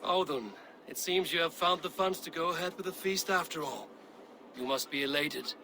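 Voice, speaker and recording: Stern Viking warrior. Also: male voice, fairly high pitch, normal speed, bright, forceful tone, a little hiss or hum, low-quality recording. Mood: courteous. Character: stern, commanding Viking warrior investigating a murder plot. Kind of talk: cinematic video game acting.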